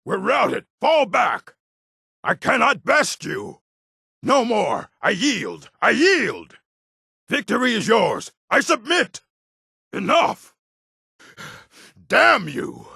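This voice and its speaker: An actor voicing a proud, gruff orc warrior in a fantasy video game, gravelly and husky, with a stern, blunt delivery. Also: male voice, high voice, huge pitch swings, takes it slowly, pauses often, pushed and bright, no echo, studio quality, exaggerated voice. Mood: strained battle shouting.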